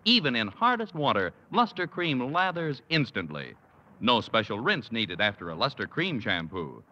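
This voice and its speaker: Bright brassy 1950s radio announcer man. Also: medium pitch, big pitch swings, moderate pace, dull audio. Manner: smooth, projecting, salesy.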